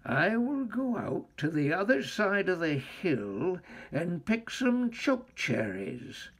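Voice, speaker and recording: A man voicing a crafty, scolding old witch in a storybook, with theatrical drawn-out exclamations. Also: high-pitched, very animated, a bit bright, breathy edge, exaggerated voice. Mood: scheming.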